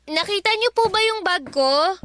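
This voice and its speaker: A woman's voice: extremely high pitch, animated, normal speed, a bit bright, soft background music. A woman playing a polite, eager young schoolgirl in a Filipino radio drama. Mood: polite, concerned. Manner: polite, deferential.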